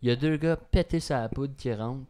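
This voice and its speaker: Casual animated Québécois male musician with a smooth, resonant voice. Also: mid-range voice, brisk. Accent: Québécois French. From Quebec. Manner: animated storyteller, swears, acts out voices. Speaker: band member. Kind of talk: casual interview chat.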